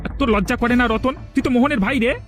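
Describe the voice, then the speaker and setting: A man acting an upright villager, extremely high-pitched. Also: very fast, fairly bright tone, soft background music. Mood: reproachful. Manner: earnest, scolding. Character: upright, reproachful village man, loyal family friend. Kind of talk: melodramatic cartoon voice acting.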